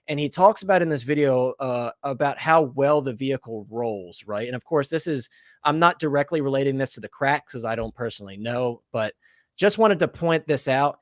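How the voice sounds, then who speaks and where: A man hosting a casual collector podcast, talking in an earnest, hedging way with plenty of fillers. His voice sits at a middle pitch and is recorded close and dry, with no room sound around it. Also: dry recording. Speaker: podcast host.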